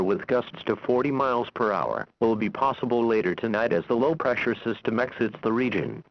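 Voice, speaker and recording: A man reading a scripted weather forecast bulletin as a weather radio announcer, in a formal, impersonal, synthetic-sounding delivery over the radio. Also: mid-range voice. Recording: dull lo-fi weather radio broadcast.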